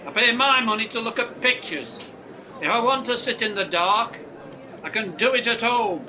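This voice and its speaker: A man's voice: very high-pitched, expressive, normal speed, crowd noise. A man playing an indignant, complaining cinema customer in an old-time radio play.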